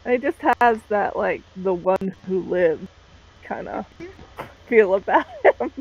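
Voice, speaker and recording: A woman's voice: mid-range voice, expressive, takes it slowly, darkish tone, muffled recording, slight background noise. A woman with a Southern American accent who chats loosely and thinks aloud as she talks. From Southern United States. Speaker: book club co-host. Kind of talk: casual live-stream chat.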